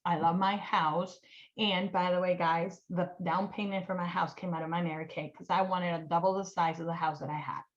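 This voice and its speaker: Colombian woman telling a lively story. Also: on the low side, brisk, lo-fi sound. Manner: animated, self-mocking, laughs at her own slips. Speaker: guest. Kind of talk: storytelling in a casual online chat.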